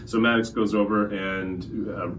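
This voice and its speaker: A man's voice: fairly low pitch, fairly even intonation, measured pace. A man playing a casual tabletop role-playing game, improvising in character with stop-start phrasing. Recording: distant mic, faint room noise.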